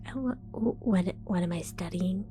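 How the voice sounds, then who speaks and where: A woman voicing a scatterbrained female mad scientist in an ASMR roleplay, whispering softly, giggling and stammering as she falsely reassures. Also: lowish voice, moderate pace, natural voice. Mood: sly whisper. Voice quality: soft whisper.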